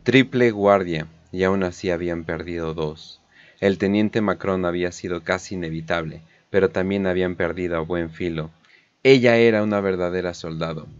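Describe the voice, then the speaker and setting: A man narrating a dramatic war story as a scripted audio drama, solemn and deliberate. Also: low voice, no room sound, highs cut off.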